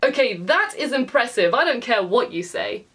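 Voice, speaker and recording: British woman, high voice, playful sarcastic game commentary, talks to viewers, music behind. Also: big pitch swings, normal speed, fairly bright tone, slightly rough. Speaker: host and reviewer. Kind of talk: jokey video game review commentary. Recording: background music under voice.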